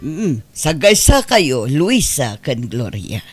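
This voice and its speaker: An actress plays a generous, gracious madam in a radio-style drama. She speaks slowly with very animated intonation and an Ilocano Filipino accent. The sound is dry. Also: extremely low pitch, breathy edge, dry recording, soft background music, heavily acted.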